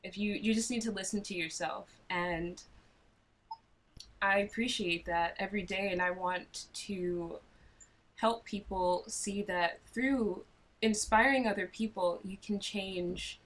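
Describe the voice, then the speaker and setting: A woman's voice: mid-range voice, fairly even intonation, unhurried, a bit bright, low-quality recording. A woman from the United States sharing reflective personal thoughts on a group video call. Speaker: group participant.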